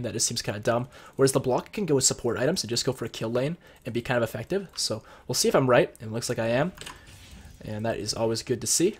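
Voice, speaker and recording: A young man with a cold, sounding a bit husky and deeper, casually explaining strategy as he plays and comments on a video game at his computer. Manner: chatty, explains strategy while playing. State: sick with a cold. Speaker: gameplay commentator. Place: home room at his computer.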